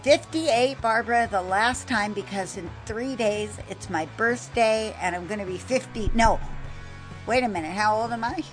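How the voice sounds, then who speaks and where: A woman's voice: on the high side, moderate pace, soft background music. A talkative, enthusiastic American woman in her fifties hosting a podcast in a chatty style. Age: 50s. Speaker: podcast host, mobile pet groomer.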